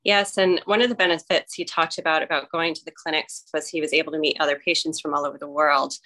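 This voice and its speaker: A woman co-hosting a podcast, speaking in a measured way with some ums as she introduces herself. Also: deep voice, fairly even intonation, pushed and bright. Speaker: podcast co-host. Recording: roomy echo.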